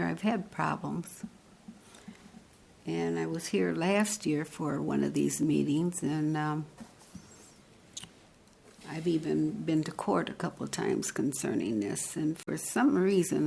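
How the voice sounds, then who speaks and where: A woman in her eighties, speaking at a council meeting's public comment, halting and earnest as she searches for words. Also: low voice, fairly even intonation, gravelly, warm tone, slight background noise. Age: 80s. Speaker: resident giving public comment. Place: council chamber podium.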